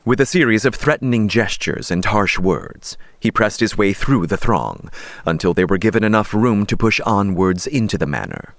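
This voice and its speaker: Low-voiced male audiobook narrator. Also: big pitch swings, moderate pace, gravelly, dry recording. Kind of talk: scripted fiction narration.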